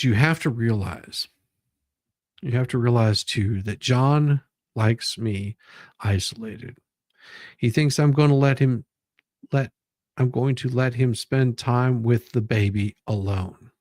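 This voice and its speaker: A man reading documents aloud and commenting on them in a halting, deliberate way. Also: medium pitch, a little mellow, dry recording, studio quality. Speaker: commentary show host.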